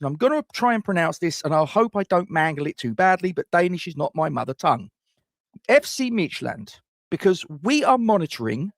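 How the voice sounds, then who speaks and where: A man's voice: a little high, creaky, dry recording, clean studio sound. A middle-aged man hosts a football fan channel, giving scripted transfer rumour commentary with wry, self-mocking jokes.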